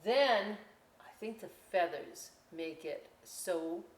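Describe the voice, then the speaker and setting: A woman's voice: on the low side, slow. Chatty woman storytime host, echoey room. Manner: chatty, expressive, addresses children directly. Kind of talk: children's storytime and craft talk.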